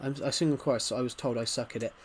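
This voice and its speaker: A man's voice: mid-range voice, fairly even intonation, rapid-fire, husky. A man hosting a casual gaming livestream, talking in a rambling, stuttering way as he answers viewer comments. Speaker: livestream host.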